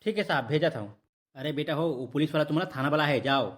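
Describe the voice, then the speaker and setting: A woman plays a folksy cartoon village headman with a Chhattisgarhi accent. The voice sits low and moves very fast. He is gruff but fatherly, soothing others while easily flustered himself. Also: close to an everyday voice. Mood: reassuring. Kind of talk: Chhattisgarhi comedy cartoon voice acting.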